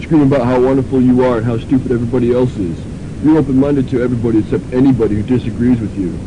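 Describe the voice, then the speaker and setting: A man playing a gruff, irritable young college athlete in an amateur radio drama. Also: fairly even intonation, very fast, highs cut off, close to an everyday voice. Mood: combative. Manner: sarcastic, combative. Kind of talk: student radio drama acting. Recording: noisy, rumbling amateur radio recording.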